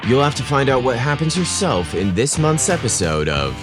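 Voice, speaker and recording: A man hosting a podcast and narrating scripted romantic stories in a theatrical, expressive manner. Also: medium pitch, normal speed, over music. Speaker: podcast host and story narrator.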